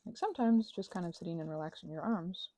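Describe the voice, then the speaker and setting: A woman host chatting casually in a meandering, self-deprecating ASMR craft ramble, with a creaky voice, often trailing off mid-thought. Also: lowish voice, fast, warm tone, dry recording.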